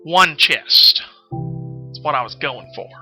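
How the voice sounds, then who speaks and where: A man's voice: a little high, animated, slow pace, bright, forceful tone. A man telling stories casually on a game stream, rambling absent-mindedly and often losing his thread. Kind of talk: casual live-stream storytelling while gaming. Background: background music.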